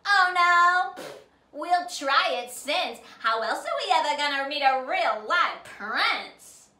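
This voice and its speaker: A woman voicing a mean, excitable young stepsister, loud and shrill, in a storybook read-aloud. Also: takes it slowly, full character voice. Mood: pushy, excited. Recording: roomy, distant mic, some noise.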